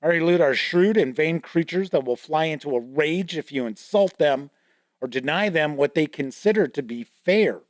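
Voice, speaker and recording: A man's voice: a little high, expressive, moderate pace, dry recording. A man hosting a tabletop gaming show, casually flipping through a book, reading entries aloud and commenting, joking about his own mispronunciations. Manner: chatty, reads entries aloud and comments on them. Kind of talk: casual product walkthrough.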